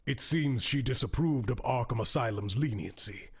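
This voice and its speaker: A man playing a smug, sardonic supervillain in an audio drama, with a rough, creaky delivery. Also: medium pitch, animated, moderate pace. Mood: dry, smug. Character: sardonic male supervillain henchman, smug and matter-of-fact. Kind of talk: amateur audio drama acting.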